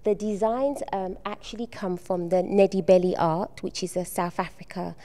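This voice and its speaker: A soft-spoken woman in her middle years, explaining a school art exhibition she organized. Her voice is mellow and warm, and she pauses often with ums as she gathers her thoughts. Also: medium pitch, fairly even intonation. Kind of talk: on-location TV interview.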